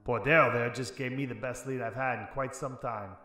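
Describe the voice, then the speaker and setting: A man's voice: mid-range voice, steady tone, fairly quick, sharp tone, natural voice. Man acting as a tough 1940s private eye, roomy sound. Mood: wry, brooding. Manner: tough, wry, world-weary. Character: hard-boiled 1940s male private investigator, tough and bitter. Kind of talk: noir radio drama acting and narration.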